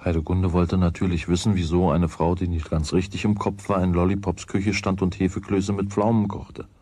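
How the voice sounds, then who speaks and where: A man's voice: sits low, flat delivery, normal speed, warm tone, breathy edge, dry recording. A man narrating a children's radio play as its scripted storyteller. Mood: measured.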